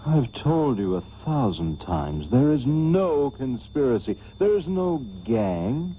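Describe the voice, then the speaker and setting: A man's voice: medium pitch, very animated, takes it slowly. A man playing a desperate, innocent prisoner pleading melodramatically in a comedic radio serial. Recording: dull, faintly noisy radio tape.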